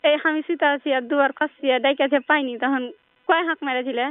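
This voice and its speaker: A woman's voice: high-pitched, steady tone, a little fast, no room sound. A woman chatting casually and flirtatiously on a phone call in a rural Bengali dialect. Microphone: telephone. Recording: muffled narrow-band phone call.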